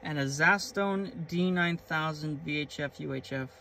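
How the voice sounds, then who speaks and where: Man, higher-pitched voice, informal hobby vlogger, enthusiastic, casual walkthrough, handheld recording, vehicle noise in background. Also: unhurried, sharp tone. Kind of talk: casual vlog walkthrough, showing gear. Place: in and around a truck. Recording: clear vehicle and ambient noise.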